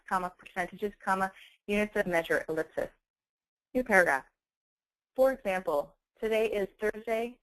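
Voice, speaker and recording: A woman giving a webinar software demonstration, enunciating clearly as a presenter. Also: mid-range voice, low-quality recording. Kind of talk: webinar product demonstration with dictation. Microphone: close handheld speech mic.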